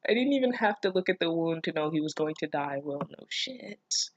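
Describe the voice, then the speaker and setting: Sarcastic low-pitched woman reacting. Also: expressive, brisk, no room sound, lo-fi sound. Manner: sarcastic, incredulous, profane, interjecting. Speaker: host reading fan fiction with commentary. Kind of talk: casual reaction read-aloud.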